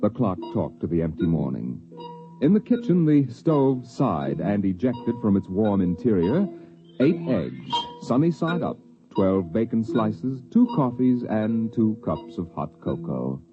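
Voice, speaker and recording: An American man narrating a 1950s radio drama in a measured, portentous, dramatic manner. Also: fairly low pitch, very animated. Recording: muffled 1950s radio, music behind.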